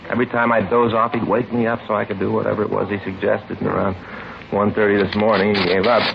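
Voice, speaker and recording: A man's voice: fairly low pitch, flat delivery, quick pace, gravelly. An American man acting as a tired, put-upon police detective, chatty and aggrieved, in a 1950s radio drama. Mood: exasperated. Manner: weary, chatty, aggrieved storytelling. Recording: muffled, hissy vintage radio recording.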